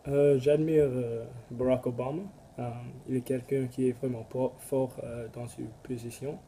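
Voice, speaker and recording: Halting teenage boy from Canada, dark flat voice. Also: medium pitch, a little hiss or hum. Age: teens. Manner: halting, filler-heavy. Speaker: student. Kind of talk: casual self-introduction.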